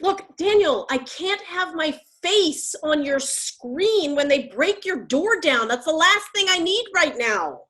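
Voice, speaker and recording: Woman acting a high-voiced, wry activist. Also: lively intonation, slow pace, hardly any pauses, a bit bright, full character voice. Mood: worried. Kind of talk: comedic stage acting over video call. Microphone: video call microphone.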